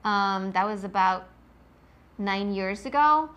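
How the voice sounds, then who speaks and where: A woman's voice: medium pitch, expressive, takes it slowly, a bit bright, highs cut off. A woman from Switzerland with a Swiss accent, a marine biologist answering interview questions in an enthusiastic, explanatory way, with many ums.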